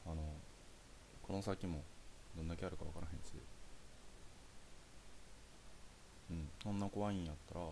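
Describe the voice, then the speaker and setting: A man's voice: low-pitched, steady tone, quick pace, creaky, dark tone, slight background noise. A young man with a Kansai Japanese accent playing a caring boyfriend in a naturalistic phone-call audio drama, chatting casually and hesitantly. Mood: hesitant, tender. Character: caring young boyfriend reassuring his anxious girlfriend.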